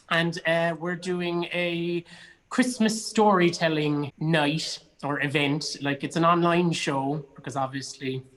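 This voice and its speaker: An Irishman in relaxed, informal conversation, talking with enthusiasm about things he enjoys. His voice sits in an ordinary range, and he comes across as chatty, like a guest on an online show. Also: on the high side, low-quality recording. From Cork, Ireland. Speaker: guest, actor and theatre producer. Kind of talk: casual online chat. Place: indoors in a studio.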